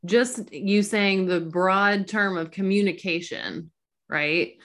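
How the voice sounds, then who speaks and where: A woman's voice: mid-range voice, slow, fairly bright tone. A woman hosting an interview, reflective and thinking aloud with fillers as she responds to her guest. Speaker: interviewer, host. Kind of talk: conversational interview.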